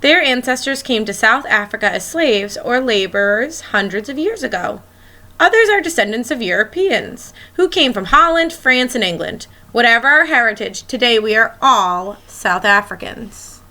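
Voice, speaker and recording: A woman's voice: a little high, animated, normal speed, bright, forceful tone. A woman schoolteacher reading a children's picture book aloud, expressively and as if speaking directly to young listeners.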